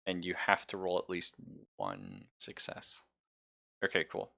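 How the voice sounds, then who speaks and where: Bright, low-voiced man running a tabletop game. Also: sing-song, unhurried, gravelly, dry recording, studio quality. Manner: explains rules conversationally, describes gory action. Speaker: game master. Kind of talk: casual tabletop game narration and banter.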